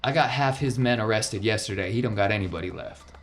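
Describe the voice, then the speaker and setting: A man's voice: fairly low pitch, fast, fairly bright tone, roomy sound, soft background music. A man voicing a stubborn, evasive crime lord who protests in blunt, clipped bursts, in a tabletop game. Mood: grumbling.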